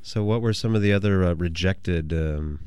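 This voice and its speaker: A man's voice: low-pitched, moderate pace, darkish tone, clean tone. A man interviewing a band in a radio studio with dry, understated humor.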